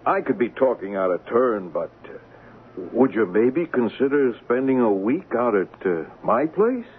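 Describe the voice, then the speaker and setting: An actor playing a smooth-talking, persuasive male swindler in a 1970s radio drama. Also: medium pitch, very animated, unhurried. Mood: hesitant, coaxing. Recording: tinny band-limited old radio broadcast, noisy.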